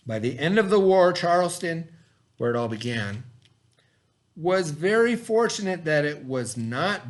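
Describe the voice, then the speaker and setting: A man reading a lesson aloud as a teacher, explaining as he goes and checking understanding with simple questions. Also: fairly high pitch.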